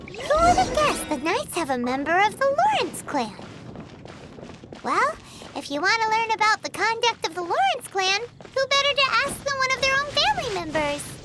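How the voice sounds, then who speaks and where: A woman voicing a small, chatty floating companion creature who talks in a squeaky, excitable, blunt way in a game cutscene. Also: moderate pace. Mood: eager. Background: game music.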